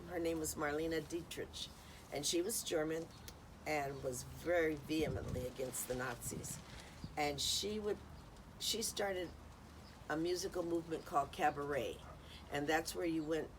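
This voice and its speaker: A woman's voice: deep voice, slight background noise, low-quality recording. A woman hosting an informal talk on music history, rambling and chatty with many ums and uhs. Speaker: host.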